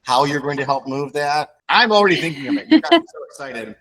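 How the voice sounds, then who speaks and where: A man's voice: on the high side, big pitch swings, fast talker, crowd noise. A man in his fifties hosting a podcast, rambling and effusive, often asking if you know what he means. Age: 50s. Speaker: podcast host. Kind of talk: casual interview chat.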